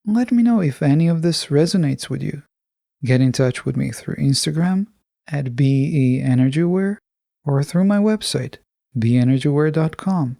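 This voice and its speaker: Guy doing a reflective self-help podcast, takes his time, mellow tone with some fry. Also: a little high, no room sound, studio quality. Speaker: podcast host. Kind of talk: solo motivational podcast monologue.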